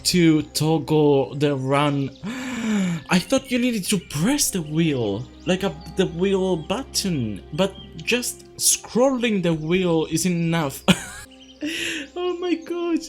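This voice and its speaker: Young guy, italian is his first language, rambles and laughs at himself, voice jumps around a lot, low game music under it. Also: a little mellow. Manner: rambling, self-mocking, laughs often. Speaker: let's play host. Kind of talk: casual gaming commentary.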